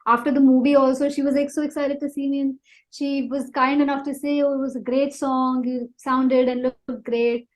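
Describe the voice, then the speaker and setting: An Indian woman speaks in a high voice as a singer being interviewed. She chats informally and tells little anecdotes, gushing with appreciation for the people she describes. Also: level tone, moderate pace. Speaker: singer-songwriter guest. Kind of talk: casual chat, telling anecdotes.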